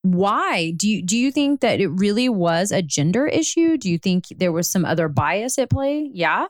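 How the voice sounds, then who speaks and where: A woman's voice: medium pitch, sing-song, moderate pace, no echo, studio quality. Affirming woman podcast host. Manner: affirming, probing questions. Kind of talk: interview hosting.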